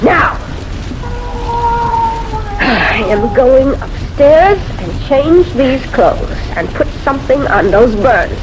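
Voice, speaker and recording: Domineering schemer, condescending and commanding, highish animated voice, vintage radio drama style. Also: female voice, slow, over music, heavily acted, poor audio. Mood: threatening. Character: domineering, sly, bossy woman intruder, smugly cruel. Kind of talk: old-time radio drama acting. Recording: old radio drama recording.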